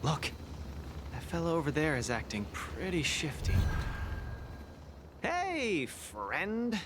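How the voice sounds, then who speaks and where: A man's voice: on the high side, wildly swooping pitch, slow pace, noisy background, heavily acted. An actor playing a confident, friendly young muscleman hero in a video game, with a breezy, reassuring manner. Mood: upbeat.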